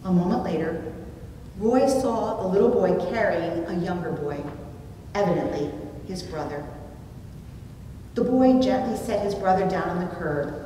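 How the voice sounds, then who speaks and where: A middle-aged woman delivering a sermon with storytelling in a measured, expressive way, with deliberate phrasing. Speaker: preacher. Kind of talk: sermon with storytelling, read from notes. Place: small indoor church room, at a pulpit. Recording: distant mic, very echoey room, rumbly noise.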